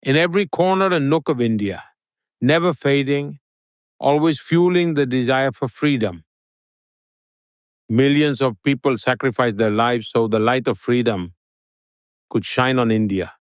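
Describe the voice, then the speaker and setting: A man giving an interview guest's answers in English, with a steady, formal delivery like a prepared speech. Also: medium pitch, a little slow, long pauses, clean tone, no room sound, clean studio sound.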